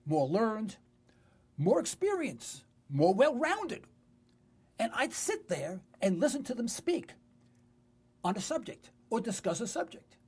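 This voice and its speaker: Man in his sixties, New York accent, raspy voice, lively intonation, talk radio host, conversational storytelling, emphatic phrasing. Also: extremely high pitch. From New York City. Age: 60s.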